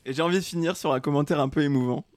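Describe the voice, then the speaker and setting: A man's voice: on the high side, racing, no echo. Young man laughing at himself. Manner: laughs often, self-mocking, relaxed. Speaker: host. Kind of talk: casual chat, reading his old comments aloud.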